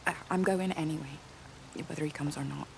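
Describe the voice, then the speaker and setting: A caring, stubborn village woman in a story-driven game. Her voice is low and plain-spoken, set against clearly audible ambient noise. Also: steady tone, a little fast. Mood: subdued, resolute. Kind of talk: naturalistic video game voice acting.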